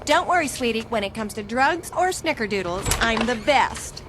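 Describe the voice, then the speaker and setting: A woman's voice: on the high side, animated, normal speed, close to an everyday voice. A woman playing a sweet, chirpy suburban housewife in a video game. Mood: cheerful, excited. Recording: long reverb, faint background noise.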